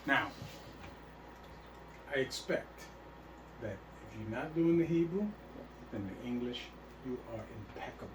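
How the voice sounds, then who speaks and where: A man's voice: medium pitch, animated, unhurried, pauses often, roomy sound. A man teaching a religious class, emphatic and repeatedly checking that his listeners understand. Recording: faint hiss, speaker far from mic.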